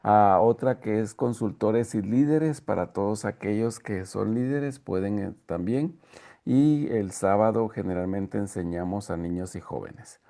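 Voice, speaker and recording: A man hosts an online interview in a courteous, formal way. His voice is low and gravelly, with a warm, dark tone. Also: measured pace. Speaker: interviewer and host.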